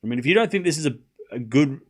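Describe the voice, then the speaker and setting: A man's voice: a little high, animated, quick pace, husky. Man with a mild Australian accent, livestream commentary. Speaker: livestream watchalong host and commentator. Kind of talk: live tennis commentary mixed with casual chat reading viewer comments.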